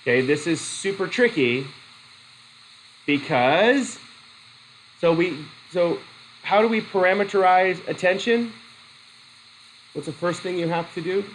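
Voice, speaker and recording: Slightly high-voiced man lecturing a class. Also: lively intonation, slight background noise, rough recording. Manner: halting, restarts sentences, questions the class. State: has a cold. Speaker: psychology methods teacher. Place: classroom with a whiteboard.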